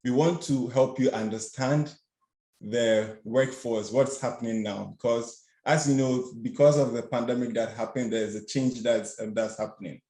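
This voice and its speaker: A young man from Ghana presents over a video call in a halting, slightly nervous way, stumbling and restarting with fillers as he goes. His middle-pitched voice sits in a noticeably roomy, echoing space. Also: fairly even intonation, dark tone. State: a bit nervous. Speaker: student workshop facilitator. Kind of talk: webinar presentation. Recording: roomy echo.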